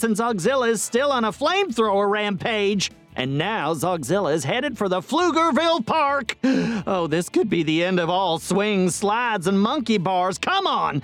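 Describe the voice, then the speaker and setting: A man voicing an excitable, theatrical cartoon sidekick who delivers exaggerated, exclamatory play-by-play like a wrestling announcer. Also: high-pitched. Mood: dramatic. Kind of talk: exaggerated play-by-play sports commentary and comic narration. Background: music.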